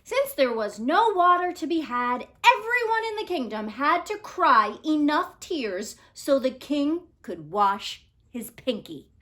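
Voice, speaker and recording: A woman reading a picture book aloud to children in an expressive, theatrical way with deliberate phrasing. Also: high voice. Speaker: storybook reader.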